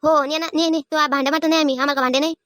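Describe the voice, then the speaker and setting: Woman playing elderly village mother-in-law, very fast talker, high voice, sharp-tongued complaints, rural Maharashtrian accent, cartoon comedy. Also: steady tone, no echo, clean studio sound. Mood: indignant scolding. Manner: scolding, complaining, rapid-fire. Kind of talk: animated family comedy acting.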